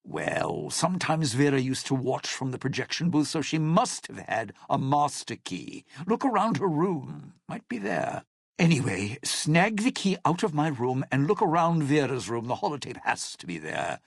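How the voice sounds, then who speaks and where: An actor playing a cynical, self-serving lounge singer in a video game, with a raspy, gravelly voice and a wry, theatrical, menacing delivery. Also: male voice, mid-range voice, sing-song, moderate pace, no room sound, clean studio sound, heavily acted. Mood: urgent, wry. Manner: wry, theatrical, hesitant muttering turning to threats. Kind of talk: video game character dialogue.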